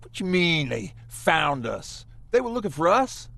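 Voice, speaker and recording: An actor playing a wary, assertive male resistance fighter in a game, confrontational and forceful. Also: on the high side, big pitch swings, measured pace, vocal fry, exaggerated voice. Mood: alarmed, suspicious. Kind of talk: dramatic game cutscene acting.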